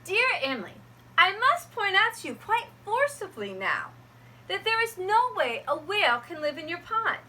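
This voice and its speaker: Animated, bright-voiced woman teacher. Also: sits high, a little hiss or hum, low-quality recording. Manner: gentle, expressive storybook reading. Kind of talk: reading a picture book aloud to students.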